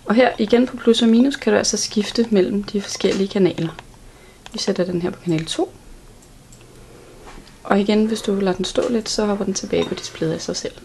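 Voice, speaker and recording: A woman's voice: medium pitch, creaky, darkish tone, slight background noise. A woman giving an instructional product walkthrough in a plain, step-by-step way. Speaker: product demonstrator.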